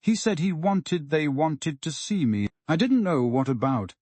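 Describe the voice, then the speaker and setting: A man's voice: fairly high pitch, sing-song, unhurried, a little mellow, no room sound, voice pushed up. Indignant, scared male accuser for a detective story, acted by a male reader; raised voice, aggrieved and protesting. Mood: defiant, wary. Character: frightened, indignant Levantine man, shrilly accusing.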